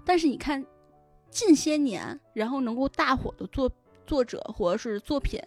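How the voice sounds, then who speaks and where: A woman's voice: sits high, lively intonation, moderate pace, a little mellow. A woman guest explaining things in a chatty way during a casual podcast conversation. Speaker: guest, web-fiction editor. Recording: music behind the voice. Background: music.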